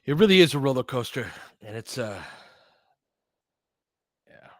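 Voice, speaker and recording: Male basketball play-by-play host, medium pitch, casual and conversational analysis, very dry sound. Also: big pitch swings, a little slow, fairly bright tone, no room sound. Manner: conversational, thinking aloud. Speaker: host, fan play-by-play commentator.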